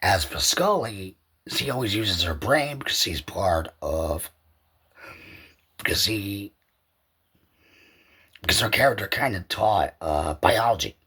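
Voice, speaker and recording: Male podcast host: low, very rough husky voice, loose conversational rambling, repeats himself. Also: expressive, slow, pauses often, bright, forceful tone. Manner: loose and repetitive, circling back over points. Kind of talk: rambling casual solo commentary.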